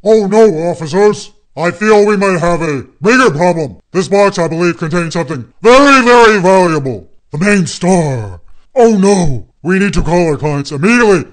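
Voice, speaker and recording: High-pitched, fussy, scolding bank manager played by a man. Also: lively intonation, moderate pace. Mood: alarmed. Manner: dramatic, scolding. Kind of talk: radio play acting.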